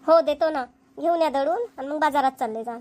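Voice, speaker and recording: A woman's voice: high voice, a little fast, a little mellow, lightly acted. A woman voicing a patient village mother. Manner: rural Marathi dialect, patient, agreeable. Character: village mother, patient, homely, dutiful wife. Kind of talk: cartoon voice acting.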